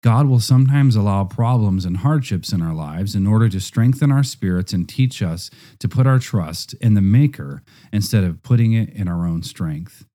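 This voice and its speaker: Deep, very mellow male narrator. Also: lively intonation, normal speed, few pauses.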